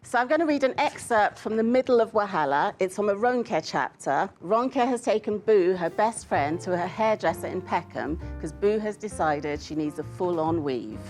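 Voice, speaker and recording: A woman author presenting and reading fiction in a scripted style, with measured, expressive phrasing. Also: mid-range voice. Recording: music bed under the voice. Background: music.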